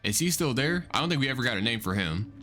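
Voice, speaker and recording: A man hosting a reaction video, excitedly talking through a horror story, swearing and thinking out loud. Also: medium pitch, quick pace, faint music behind. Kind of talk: casual reaction commentary.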